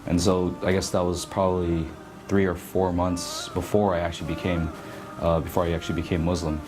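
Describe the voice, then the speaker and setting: Low-voiced man speaking haltingly over music. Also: little pitch movement. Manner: halting, searching for words. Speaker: interviewee telling a conversion story. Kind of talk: casual personal storytelling. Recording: music playing behind the voice.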